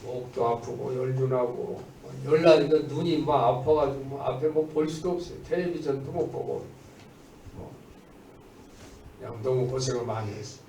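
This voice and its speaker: A man's voice: mid-range voice, moderate pace, dark tone, a little hiss or hum. A man preaching a Sunday church sermon as a pastor, exhorting his listeners in a manner that moves between emphatic proclamation and conversational asides. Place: indoors at a church service. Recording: echoey church room, distant mic.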